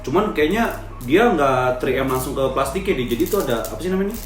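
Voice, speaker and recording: A man's voice: on the high side, lively intonation, fast, a little hiss or hum. A young man with a Jakarta Indonesian accent co-hosting in casual, colloquial chat, often briefly agreeing. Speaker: vlog co-host. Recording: echoey room with clear background music.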